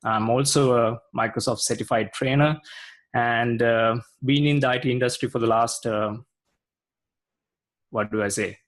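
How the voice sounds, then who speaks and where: A man's voice: medium pitch, fairly even intonation, moderate pace. Hesitant man from Sri Lanka. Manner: hesitant, many fillers. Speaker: guest, IT consultant. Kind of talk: casual video interview.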